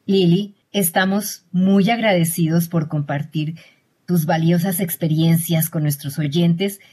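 Female host, husky and low, polite formal questions. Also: fairly even intonation, a little slow, dark tone. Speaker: interview host. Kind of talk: scripted interview questions.

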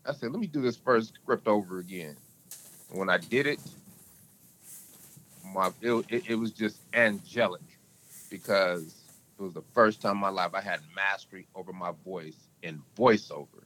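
A man's voice: normal speed, fairly bright tone, slight background noise, poor audio. A man guest on a podcast, talking in a casual, emphatic, storytelling way. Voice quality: can go deep. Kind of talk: casual interview talk.

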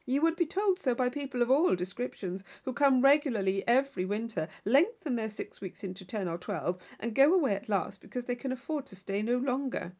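A woman voicing a witty, urbane young gentleman in a classic novel audiobook, with a playful, mock-solemn, teasing manner. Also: a little high, lively intonation, a little fast, hardly any pauses. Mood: dry teasing.

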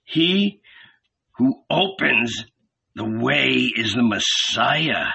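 A man voicing a blunt, forceful red-bearded man who growls and insists. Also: mid-range voice, animated, drawn-out words, gravelly, breathy edge, far from the mic, exaggerated voice. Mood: urgent. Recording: dull lo-fi audiobook recording.

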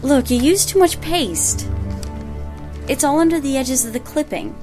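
Fussy grown daughter, high voice, fond chiding, naturalistic radio drama, light music behind. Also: female voice, animated, unhurried. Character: fussy, affectionate grown daughter, young librarian. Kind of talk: naturalistic audio drama acting.

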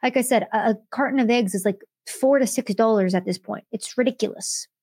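A woman with a slightly high voice hosts her own podcast and rants through the news with indignant energy. Her delivery is rapid-fire and opinionated. The recording sounds dry and close, with no room around it. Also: darkish tone, husky, no echo. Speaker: podcast host. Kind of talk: political commentary monologue.

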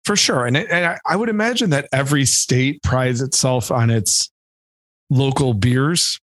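A man's voice: mid-range voice, unhurried, fairly bright tone, no room sound, clean studio sound. Wry Michigan man, casual podcast chat. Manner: wry, joking. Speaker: podcast co-host. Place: home.

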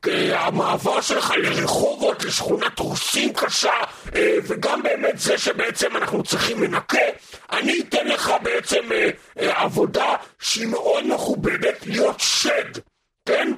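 A man's voice: extremely high pitch, expressive, bright, forceful tone, a little hiss or hum, exaggerated voice. A man playing a bossy, dismissive devil who hands out jobs in hell, with music behind him.

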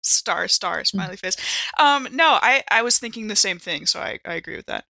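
A woman's voice: medium pitch, lively intonation, moderate pace, creaky, sharp tone, no echo. A woman playing in a tabletop game, chatting casually about the plan.

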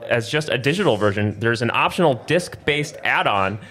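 A man's voice: medium pitch, animated, moderate pace, a bit bright. A man hosting a casual chat show in a rambling, upbeat way. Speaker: show host.

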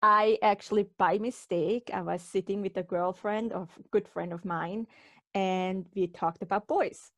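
A woman's voice: mid-range voice, fairly even intonation, unhurried, fairly bright tone, no echo. Woman podcast host with a dry, close sound. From Switzerland. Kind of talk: conversational podcast talk.

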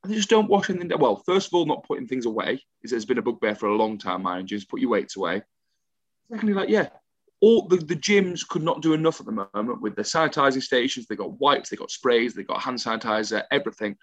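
A young English man co-hosting a podcast in casual chat, ranting with animated, emphatic energy. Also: mid-range voice, fast, no room sound. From England. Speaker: podcast co-host. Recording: dull dry home mic.

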